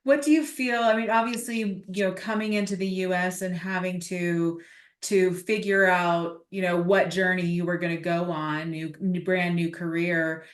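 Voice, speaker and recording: Lowish woman host in an echoey room. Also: fairly even intonation, moderate pace. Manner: warm encouraging affirmations, restarts her questions. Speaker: podcast host. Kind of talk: interview questions, casual.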